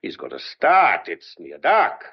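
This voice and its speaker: An actor playing a gruff but kindly, exasperated police sergeant in a thriller audio drama, with a blunt, coaxing, colloquial way of talking. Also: male voice, fairly low pitch, wildly swooping pitch, slow pace, highs cut off, full character voice. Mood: exasperated, grim. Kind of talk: old-fashioned thriller audio drama acting.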